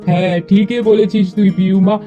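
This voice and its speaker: A man's voice: high-pitched, level tone, normal speed, highs cut off, soft background music, natural voice. A man playing a wronged, earnest father in a cartoon, speaking emphatically. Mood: relieved, earnest. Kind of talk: cartoon character dialogue.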